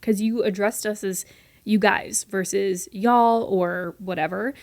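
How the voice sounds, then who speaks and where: A woman's voice: mid-range voice, fairly even intonation, no echo. A woman in her twenties from North Carolina, hosting a casual solo podcast from her room, chatty and playful, with a mostly neutral American accent that slips into a light Southern lilt. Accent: mostly neutral American, with a light Southern lilt at times. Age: 20s. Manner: chatty, fast-talking and playful. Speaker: podcast host.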